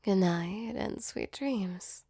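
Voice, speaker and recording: A woman voicing a gentle, protective female demon in a soft, soothing ASMR comfort roleplay. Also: medium pitch, drawn-out words, vocal fry, husky, no room sound, full character voice. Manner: soothing, tender, reassuring.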